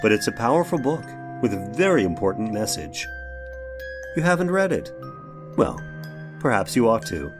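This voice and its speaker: A courteous, secretive inventor in an old-time radio horror play. His low voice rises and falls widely as he rambles politely and hesitantly. Soft music sits behind him. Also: sing-song. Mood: polite, uneasy. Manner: genteel, halting, polite, rambling. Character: courteous, ailing, secretive inventor of artificial limbs confessing.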